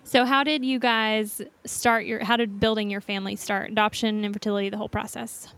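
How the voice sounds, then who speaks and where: A woman's voice: mid-range voice, flat delivery, brisk, a bit bright. A woman from North Florida with a faded Southern accent, hosting a casual interview with enthusiastic, encouraging remarks. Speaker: podcast host.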